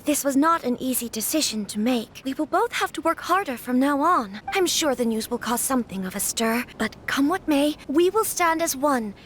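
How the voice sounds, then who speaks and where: A woman's voice: high-pitched, expressive, moderate pace, few pauses, a bit bright, a little hiss or hum. A woman voicing a poised young noblewoman in a video game, speaking in a courteous, formal way. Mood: gracious.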